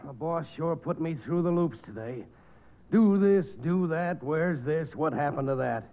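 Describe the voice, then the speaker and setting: A weary, put-upon working man in a 1950s radio commercial. His voice sits a little high, and the old recording is thin and narrow. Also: animated, unhurried. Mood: exhausted, exasperated. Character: weary, frazzled working man home from the office.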